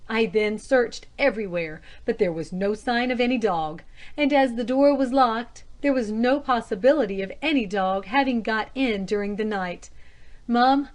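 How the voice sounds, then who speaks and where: A woman's voice: a little high, natural voice. A woman voicing a frightened, indignant female cook in a storybook, explaining herself condescendingly to her mistress. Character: frightened, indignant female household cook, plain-spoken and deferential.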